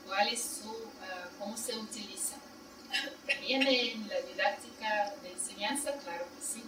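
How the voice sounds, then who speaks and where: A woman giving a university seminar lecture in Spanish as a second language, explaining in plain steps and checking her listeners with short questions. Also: mid-range voice. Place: seminar room. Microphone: distant room mic. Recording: echoey room, far mic, loud noise.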